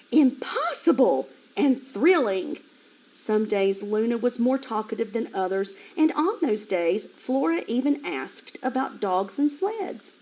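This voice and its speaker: A woman teacher reading a children's chapter book aloud with expressive, lively storytelling, on a dull lo-fi recording. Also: sits high.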